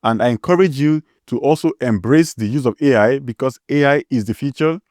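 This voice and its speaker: A man's voice: mid-range voice, brisk, no echo, clean studio sound. Nigerian man explaining a tutorial. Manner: steady, explaining step by step, spells out words letter by letter. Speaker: tutorial host.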